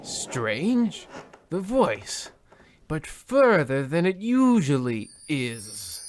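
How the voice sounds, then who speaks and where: A man's voice: a little high, sing-song, extremely slow, slight background noise, full character voice. An actor playing a pompous, overwrought husband half out of his wits, theatrical and florid, in a comedic old-time radio play. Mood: haunted.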